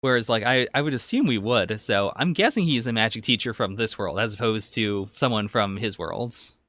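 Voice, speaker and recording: A man's voice: lowish voice, expressive, normal speed, a bit bright, dry recording. A man co-hosting a podcast, casually discussing anime and thinking aloud as he analyses it. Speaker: podcast co-host.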